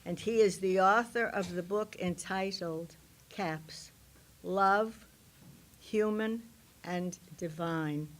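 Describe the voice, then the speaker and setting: A woman reading a formal introduction of a speaker in a measured manner. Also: medium pitch. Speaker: event introducer.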